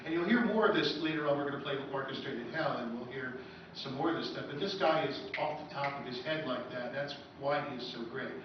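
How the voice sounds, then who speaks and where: A man's voice: medium pitch, brisk. A man giving an informal, enthusiastic lecture as a radio historian to an audience. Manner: enthusiastic, admiring. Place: convention presentation room, indoors. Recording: roomy hall, far mic, dull, noisy.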